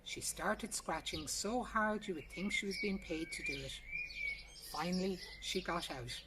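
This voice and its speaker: A woman's voice: fairly low pitch, unhurried, recorded from a distance. A woman with an Irish accent narrating a traditional folk tale in a plain-spoken, colloquial way. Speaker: storyteller reading a folk tale. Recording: noisy recording with birdsong behind. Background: birdsong, ambient noise.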